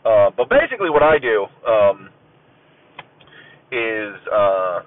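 A man from Ohio hosting his own podcast, rambling casually with many ums, stuffy and clearing his throat as if getting a cold. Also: lowish voice, very animated, slow. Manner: rambling, many ums and uhs, self-deprecating. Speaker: podcast host. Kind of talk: casual solo podcast chat. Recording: tinny phone-like audio.

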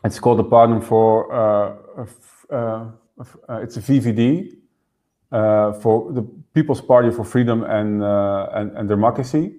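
A man raised in the Netherlands, speaking as an interview guest and explaining politics quickly, often repeating words as he goes. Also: on the low side, dark tone. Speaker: political party member, guest. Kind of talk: interview conversation.

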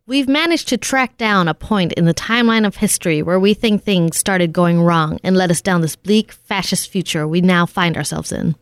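A serious female scientist from an old-style radio drama, acted by a woman, with a slightly low, crisp voice. She briefs her agents in a formal, earnest way, recorded very dry. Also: no room sound, close to an everyday voice. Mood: grave, urgent. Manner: formal, grave, deliberate exposition. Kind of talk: 1940s-style radio play acting.

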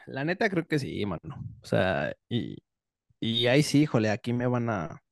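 A man's voice: medium pitch, sing-song, normal speed, gravelly, no room sound, studio quality. A Mexican-accented man hosting a casual podcast chat, speaking in a slangy, informal way and often interrupting himself. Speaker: podcast host.

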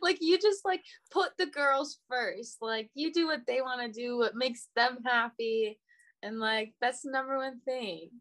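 A woman's voice: a little high, unhurried, fairly bright tone. A young woman from Illinois, a podcast guest, chatting in a giggly, bubbly, gushing way. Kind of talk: casual chat.

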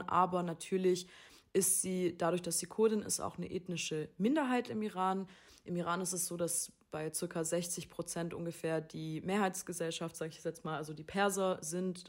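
Calm woman explaining, lowish voice. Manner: calm, informative, explaining background. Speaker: podcast host. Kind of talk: explanatory podcast talk.

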